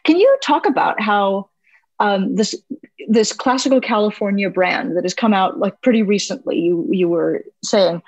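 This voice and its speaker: Friendly podcast host lady, mid-pitch, keeps saying like, no room sound. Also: normal speed, a little mellow, no room sound, lo-fi sound. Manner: self-deprecating, chatty, lots of "like". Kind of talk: casual interview chat.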